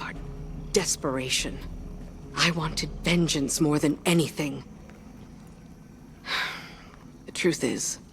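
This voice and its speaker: A tired, guilt-burdened advisor who speaks in a measured, earnest way. Soft music sits beneath her words. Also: sits low. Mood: regretful.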